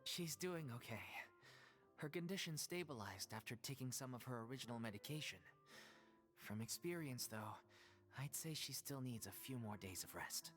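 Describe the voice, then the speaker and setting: Casting: man as scolding yet caring young game character, mid-range and animated, faint music behind. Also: medium pitch, moderate pace, lightly acted. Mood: calm concern. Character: young male troupe member, dry, scolding, secretly caring. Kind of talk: video game voice acting.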